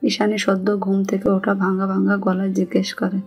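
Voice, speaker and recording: A woman's voice: medium pitch, monotone, normal speed, darkish tone, husky. A woman with a Bangladeshi accent reading scripted narration of a romantic story. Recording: music under the voice.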